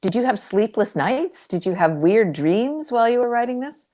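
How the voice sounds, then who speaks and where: A woman's voice: fairly high pitch, expressive, normal speed, dark tone. A woman moderating a book event, asking questions in a conversational interview style.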